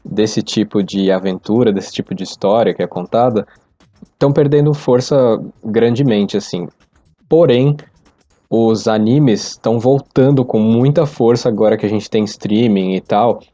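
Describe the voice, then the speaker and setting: A man's voice: lowish voice, measured pace, warm tone, no room sound, muffled recording. A Brazilian man chatting casually about pop culture as a participant on a podcast.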